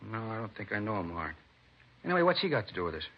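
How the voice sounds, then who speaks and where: A man's voice: on the low side, very animated, quick pace, breathy edge, full character voice. An actor playing a talkative, defensive American man under police questioning, conversational in a 1950s radio drama style. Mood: defensive, indignant. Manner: conversational, indignant when pressed. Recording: dull vintage radio recording.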